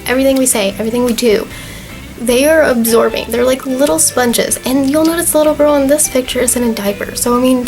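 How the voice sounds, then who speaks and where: A young woman in her twenties with a slightly hoarse, fading voice, talking to camera in a chatty, earnest way with plenty of fillers. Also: high voice, darkish tone, poor audio. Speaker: vlogger. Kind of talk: casual talk to camera.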